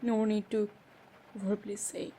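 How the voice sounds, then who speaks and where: A woman playing a hurt, defensive young woman in an emotional romantic roleplay, speaking haltingly with pauses. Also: medium pitch, flat delivery, lightly acted. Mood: angry, wounded. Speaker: audio roleplay performer. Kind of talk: improvised romantic roleplay drama. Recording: noisy background ambience.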